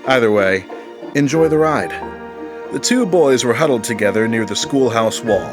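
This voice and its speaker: Male narrator for a family audio drama, mid-pitched voice, clear music underneath. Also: animated, moderate pace. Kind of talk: scripted storytelling narration.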